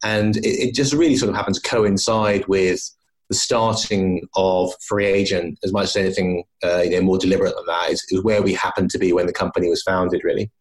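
A man's voice: deep voice, fairly even intonation, a little fast, rough recording. A middle-aged man from London with an English accent, a company founder answering interview questions in a thoughtful way, with frequent restarts. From London, England. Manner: thoughtful, hesitant with many restarts. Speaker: company founder, podcast guest. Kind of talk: conversational interview.

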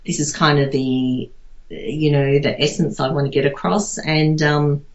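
A woman's voice: low-pitched, steady tone, a little slow. A woman chatting casually in an interview, hesitant and thinking aloud as phrases trail off. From Australia. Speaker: interviewee, artist. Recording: dull lo-fi, roomy echo.

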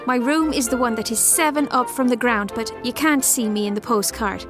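A woman's voice: a little high, brisk, music in the background, close to an everyday voice. An actress reading letters as a frank little schoolgirl, talking in plain, childlike short sentences. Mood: chatty, excited. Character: outspoken little schoolgirl writing frank notes to friend.

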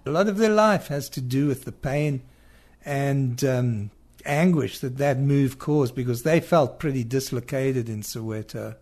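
South African male musician, interview recollection, medium pitch, soft music bed. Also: measured pace.

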